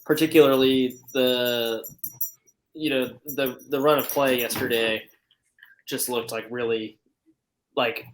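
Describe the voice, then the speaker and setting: A man's voice: mid-range voice, low-quality recording. Guy on the podcast, kinda hesitant, bit of faint noise and clicks behind. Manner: hesitant, brief. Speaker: podcast co-host. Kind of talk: casual soccer podcast chat.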